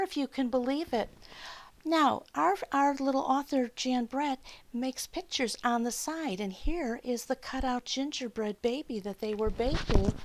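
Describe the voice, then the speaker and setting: A woman reading a story aloud to children, expressive, with chatty asides and questions to her young listeners. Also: high-pitched, background noise. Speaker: children's story time reader.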